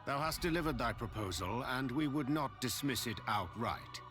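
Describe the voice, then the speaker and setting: An actor playing a calm, courteous counselor in a video game, with formal, measured, archaic diction. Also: male voice, mid-range voice, lightly acted. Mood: grave, composed. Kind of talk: dramatic video game voice acting. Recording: loud music behind.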